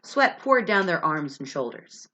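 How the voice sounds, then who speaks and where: A woman's voice: on the low side, sing-song, a little slow, bright, forceful tone. A woman with a stuffy, congested voice, reading a story aloud in a storytelling style. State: sinus congestion from allergies. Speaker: reader of an audiobook chapter. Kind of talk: read-aloud storytelling with chatty intro. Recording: dull, lo-fi sound.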